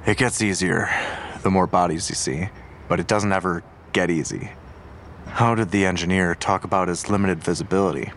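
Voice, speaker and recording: An actor playing a seasoned homicide detective, calm and probing as he questions a younger officer. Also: sits low, moderate pace, a little hiss or hum. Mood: steady, weary. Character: seasoned male homicide detective, weary, measured. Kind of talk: audio drama acting.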